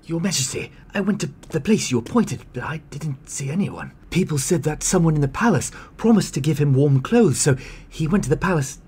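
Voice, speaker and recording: A man playing a dutiful palace guard in an animated fairy tale, formal and deferential. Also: on the high side, fairly quick, a little hiss or hum. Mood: grave, deferential. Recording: music under the voice.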